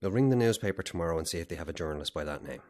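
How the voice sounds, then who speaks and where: An actor playing a frustrated, defensive boyfriend in a naturalistic audio horror drama. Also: male voice, low voice, expressive, rapid-fire, a little mellow. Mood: startled, brisk. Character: frustrated boyfriend tied to an unfinished house, defensive.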